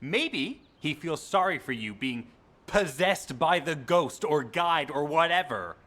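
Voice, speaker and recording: An actor playing a cocky, sulky young soldier in a video game, haughty and condescending. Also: male voice, a little high, lively intonation, takes it slowly, sharp tone, exaggerated voice. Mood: haughty, irritated.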